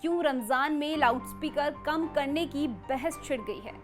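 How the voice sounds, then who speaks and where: High-pitched woman reading news. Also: measured pace, soft background music. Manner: emphatic, dramatic delivery with rhetorical questions. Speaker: news presenter. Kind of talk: scripted news narration.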